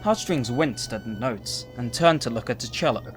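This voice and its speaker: A man's voice: mid-range voice, animated, moderate pace. Man narrating a story over faint music.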